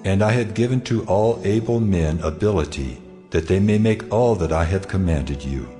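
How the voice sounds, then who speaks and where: Man playing a deep-voiced, commanding God. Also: warm tone, faint music behind, close to an everyday voice. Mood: solemn, commanding. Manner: solemn, deliberate, commanding. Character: God, commanding, solemn male deity of great authority. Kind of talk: dramatized scripture acting.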